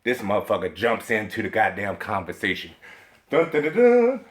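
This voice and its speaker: A man's voice: fairly low pitch, wildly swooping pitch, normal speed. A man ranting profanely about video games, emphatic and indignant, stumbling over repeated fillers as he hunts for words. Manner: emphatic and indignant, heavy swearing; rambling with stacked filler hesitations. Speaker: video game commentator. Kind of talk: profane ranting vlog commentary.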